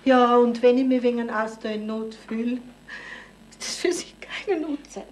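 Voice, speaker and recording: A woman playing a demanding older lady from a seventies radio play. Her voice is fairly high, reproachful and coaxing by turns, over faint noise. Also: normal speed, natural voice. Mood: insistent, coaxing. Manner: reproachful, insistent. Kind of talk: 1970s German radio drama acting. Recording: slightly noisy 1970s radio recording.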